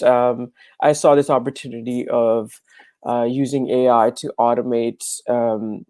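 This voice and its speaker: A man's voice: medium pitch, warm tone, no echo. A young man from Dubai and Pakistan, a startup founder talking on a video call with lots of ums and restarts. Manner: hesitant, many fillers and restarts. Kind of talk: casual video interview. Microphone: wired earphones on video call.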